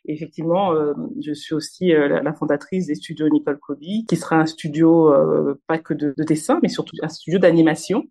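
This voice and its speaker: A woman in her forties, an illustrator answering interview questions, talking quickly with frequent fillers and restarts. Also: deep voice, fairly even intonation, mellow. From Congo (Kinshasa), raised in Normandy, France. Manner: fast, many hesitations and restarts. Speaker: illustrator and animation studio founder, guest.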